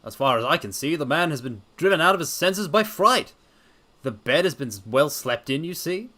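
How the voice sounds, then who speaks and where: A man acting an earnest, animated police inspector. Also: on the high side, normal speed, voice pushed up. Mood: matter-of-fact. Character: earnest male police inspector, matter-of-fact and sceptical. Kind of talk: dramatic reading of a detective story.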